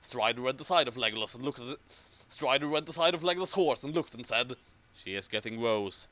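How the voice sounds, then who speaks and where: A man's voice: mid-range voice, quick pace, bright, forceful tone. A man reading amateur fan fiction in a mock-dramatic style, with stumbles and wry casual asides.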